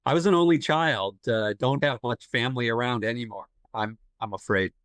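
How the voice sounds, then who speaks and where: An actor playing a shy, awkward writer in an audio play, speaking in a halting, apologetic way. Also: male voice, mid-range voice, a bit bright, dry recording, lightly acted. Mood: shy, hesitant. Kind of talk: naturalistic audio drama dialogue.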